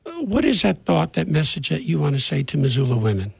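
Raspy high-voiced male radio co-host. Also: expressive, fast talker. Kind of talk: radio interview. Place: radio studio.

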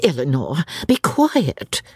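A woman's voice: deep voice, wildly swooping pitch, slow pace, breathy edge, dry recording, studio quality, heavily acted. A woman voicing a prim, fussy, self-sacrificing older English spinster in an audiobook, with a fretful, politely martyred manner. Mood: anxious hushing. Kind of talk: audiobook character voice.

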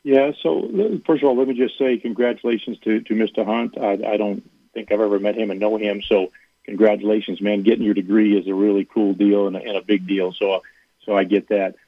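A man's voice: fairly low pitch, fairly even intonation, a little fast, no room sound. A man who is a university athletic director, a guest on a radio show, explaining things at length with many fillers and restarts. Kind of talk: radio interview over the phone. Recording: tinny phone line.

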